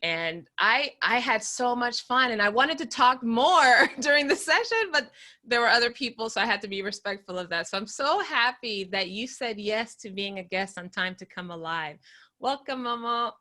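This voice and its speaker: A woman hosts an interview podcast in a bright, ringing voice. She speaks slowly and deliberately, then chats easily with her guest over a video call. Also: on the high side, expressive. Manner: slow, deliberate opening, then conversational with laughs. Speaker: podcast host.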